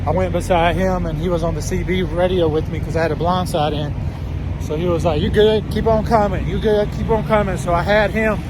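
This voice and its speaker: Male trucker vlogger, 40s, slightly higher-pitched, matter-of-fact, noisy ambience behind him. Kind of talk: casual vlog talk. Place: truck cab and outdoor truck stop at night. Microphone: phone at arm's length. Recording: noisy vehicle and outdoor ambience.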